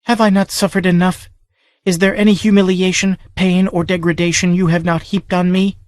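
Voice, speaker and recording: A man's voice: high voice, flat delivery, moderate pace, no room sound, clean studio sound, voice pushed up, close to an everyday voice. A man voicing a terrified young woman who begs her captor for release. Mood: desperate pleading. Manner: desperate, begging.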